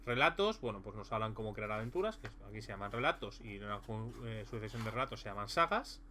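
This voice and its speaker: A man's voice: lowish voice, lively intonation, fast, sharp tone. Male reviewer, casual and rambling, frequent fillers; light background hum. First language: Spanish. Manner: rambling, many fillers and restarts. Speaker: tabletop game reviewer.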